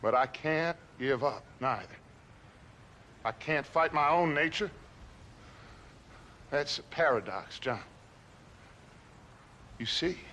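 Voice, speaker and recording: An older american outlaw boss in a game, slow theatrical preachy talk, defiant, noisy backdrop. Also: male voice, fairly high pitch, expressive, pushed and bright, heavily acted. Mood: weary, resigned. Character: aging male outlaw gang leader, defiant, fatalistic philosopher. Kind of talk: dramatic video game acting.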